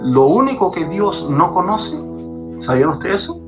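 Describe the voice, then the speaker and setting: A man's voice: high-pitched, very animated, normal speed, over music. A man, a pastor, speaking fervently as a guest on a podcast and repeating phrases for emphasis. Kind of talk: preaching guest in a podcast conversation. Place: Chile.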